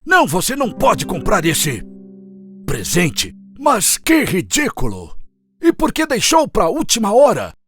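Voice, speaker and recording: A man's voice: sits high, wildly swooping pitch, unhurried, fairly bright tone, faint music behind, exaggerated voice. A man voicing a grumpy, miserly old man in a children's cartoon story, scolding theatrically. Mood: grumpy scorn. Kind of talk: animated children's story acting.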